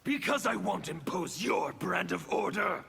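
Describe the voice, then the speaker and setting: An actor playing a fanatical, menacing anarchist warlord in a fighting game, with a gravelly voice and theatrical defiance. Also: male voice, high-pitched, lively intonation, measured pace, bright, forceful tone, soft background music, exaggerated voice. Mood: defiant. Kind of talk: fighting game character dialogue.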